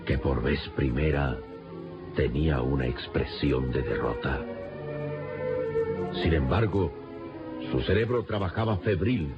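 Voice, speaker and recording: A man narrating an adventure radionovela in a grave, theatrical, measured style. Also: rumbling low. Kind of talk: dramatic scripted radionovela narration. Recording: muffled radio drama under loud music.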